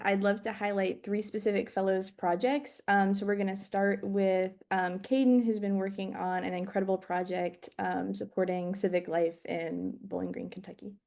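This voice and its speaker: A woman's voice: medium pitch, steady tone, normal speed, vocal fry, hardly any pauses, darkish tone. A young woman from Wisconsin, moderating an online panel with casual ums and likes. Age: young adult.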